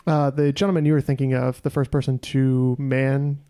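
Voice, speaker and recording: Dark, gravelly man explaining answers. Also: mid-range voice, little pitch movement. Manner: measured, filler-heavy explaining. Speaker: podcast co-host and contestant. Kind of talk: casual podcast banter.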